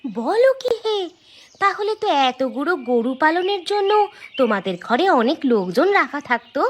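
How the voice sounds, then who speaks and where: A woman's voice: high voice, moderate pace. A woman voicing a wide-eyed, gossipy village friend in a cartoon, exclaiming. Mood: amazed. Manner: exclaiming, animated. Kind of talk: cartoon dialogue. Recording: music behind the voice.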